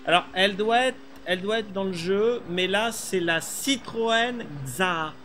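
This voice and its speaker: A man's voice: high voice, unhurried, bright, forceful tone, slight background noise. A middle-aged man streaming a rally game from a small room, chatting casually and thinking aloud with many fillers. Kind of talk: live gameplay chat.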